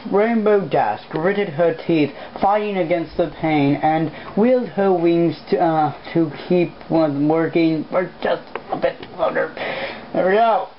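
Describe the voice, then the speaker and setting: A woman with a fairly low voice reads a story aloud in a casual, amateur way. She stumbles and drops in self-deprecating asides. The sound is dull and lo-fi, with clear background noise. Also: unhurried. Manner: informal, self-deprecating, stumbles over hard words. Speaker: host, amateur fan fiction reader.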